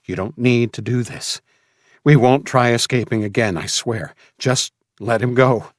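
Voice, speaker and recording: A desperate young male prisoner voiced by a male narrator pleads urgently, his voice lifted higher. He is brave but begging, in a very dry audiobook recording. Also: unhurried, no room sound, lightly acted. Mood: urgent pleading. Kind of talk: audiobook character acting.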